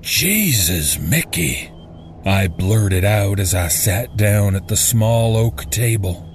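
A man reads a first-person horror tale as its narrator. His voice sits fairly low and moves slowly, with deliberate suspense. A faint noise lies behind the scripted reading. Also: flat delivery, darkish tone.

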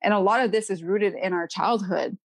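A woman in her thirties speaks with a Quebec French accent, talking easily as a podcast guest. Her voice sits at a middle pitch, and she often pads her sentences with "you know" and "right?". The recording is dry and close, with no room sound. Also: brisk, dry recording. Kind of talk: casual podcast conversation.

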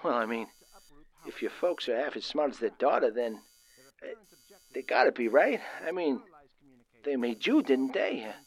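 Nervous, kindly young working man, played by a male actor, halting and bashful, widely swinging intonation, old-time radio drama. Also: medium pitch, big pitch swings, low-quality recording. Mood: reassuring, hesitant. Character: nervous, kindly young factory worker caught in invasion. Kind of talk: 1930s-style radio play acting.

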